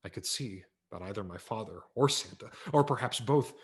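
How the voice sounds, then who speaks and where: A man narrating a humorous story aloud in a wry, expressive reading style for a virtual event. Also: lowish voice, moderate pace. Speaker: audiobook narrator. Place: home setup for a virtual event.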